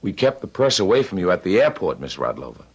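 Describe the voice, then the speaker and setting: An actor in an old radio drama playing a brisk, businesslike publicity man. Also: sits low, big pitch swings, creaky, slightly rough, lo-fi sound, full character voice.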